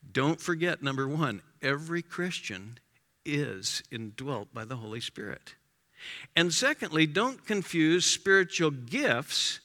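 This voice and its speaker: Lively, bright-voiced male preacher. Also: medium pitch, big-room sound. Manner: emphatic, rising to loud exclamations. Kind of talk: sermon.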